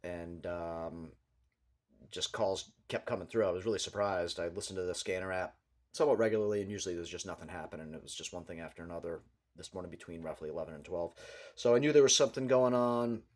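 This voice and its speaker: A man's voice: low-pitched, fast. A man giving an unscripted, rambling local news commentary in a hesitant, matter-of-fact way, like a small-time vlogger talking through events.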